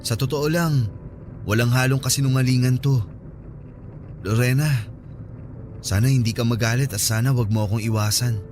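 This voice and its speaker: A man's voice: mid-range voice, fairly even intonation, moderate pace, pauses often, a little mellow, lightly acted. An actor playing an earnest, flirty coworker smitten with his friend in a Filipino radio drama, coaxing and soothing. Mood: earnest confession. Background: background music.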